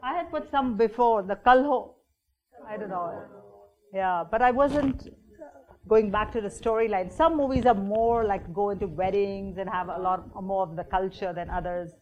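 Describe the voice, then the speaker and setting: A woman's voice: medium pitch, moderate pace, darkish tone, highs cut off. Chatty woman presenter. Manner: conversational, many fillers and restarts, acts out quoted voices. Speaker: workshop presenter. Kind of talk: informal presentation to an audience.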